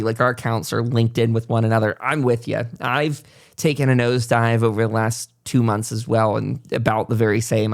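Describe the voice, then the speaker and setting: A man hosting a casual interview podcast, talking at length in a reflective, conversational way. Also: medium pitch. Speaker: podcast host.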